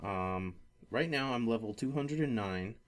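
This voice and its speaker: A man hosting a casual gaming podcast, talking in a rambling, halting way that often circles back on itself. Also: mid-range voice, animated. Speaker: podcast host.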